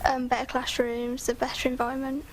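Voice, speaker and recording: A woman's voice: sits high, completely flat delivery, a little fast, slight background noise. A young woman candidate giving short, hesitant answers.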